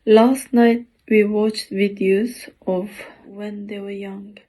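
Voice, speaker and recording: A woman in her forties from Afghanistan, with an Afghan accent, reading her own poem slowly and haltingly, pausing between phrases. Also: medium pitch, little pitch movement, warm tone. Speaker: poet.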